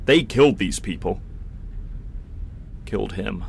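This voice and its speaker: A defiant starship captain, played by a man with a fairly low voice, brooding over every grievance. It is science-fiction drama dialogue, recorded with faint noise behind it. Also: lively intonation, measured pace, vocal fry. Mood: agitated.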